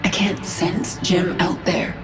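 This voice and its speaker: An actress playing a fierce, determined warrior leader in a video game, intense and commanding. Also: female voice, fairly low pitch, flat delivery, slow pace. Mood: grim determination. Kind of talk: video game cutscene acting. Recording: echoey, loud music behind.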